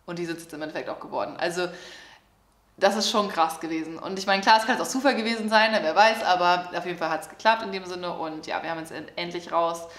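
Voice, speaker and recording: A woman in her twenties from northern Germany, chatting excitedly with many fillers in a casual vlog. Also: fairly low pitch, fast, roomy sound. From Germany, Lower Saxony near Hamburg. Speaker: vlogger. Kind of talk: casual life update vlog. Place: apartment room.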